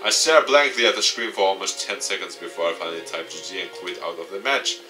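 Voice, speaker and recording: A man reading visual novel game narration aloud in an expressive, dramatic way. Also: deep voice, fast talker, distant mic, faint music behind. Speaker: gaming YouTuber.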